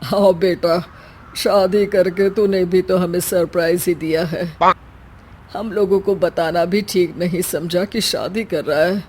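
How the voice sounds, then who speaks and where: Woman voicing aging village father, low voice, calm, fatherly, cartoon. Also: a little slow, slight background noise, close to an everyday voice.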